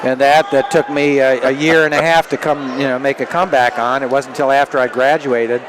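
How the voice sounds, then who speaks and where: An older man giving live radio wrestling commentary, chatting in a halting, conversational way between calls of the action. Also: mid-range voice, fairly even intonation. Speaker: play-by-play wrestling commentator, former wrestler and referee. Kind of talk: live radio sports commentary with casual banter. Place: indoor sports arena. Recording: noisy live arena broadcast. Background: loud venue noise.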